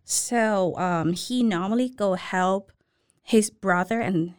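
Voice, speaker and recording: Woman, Thai accent, Thai native speaker, guest on a podcast, halting friendly talk. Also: medium pitch, clear, resonant tone. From Thailand. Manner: halting, simple phrasing, friendly. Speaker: restaurant owner, guest. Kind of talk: casual interview.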